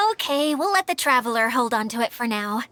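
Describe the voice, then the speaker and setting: Bright, high, lively young woman. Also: slight background noise, full character voice. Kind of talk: game cutscene dialogue.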